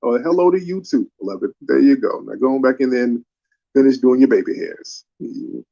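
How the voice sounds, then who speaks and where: A man runs a live call-in panel, steering callers in a brisk, commanding way. His voice sits at an ordinary middle pitch. The sound is dull and lo-fi, like a streamed call. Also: gravelly, mellow. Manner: brisk, calls people up and scolds them to slow down. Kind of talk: casual moderating.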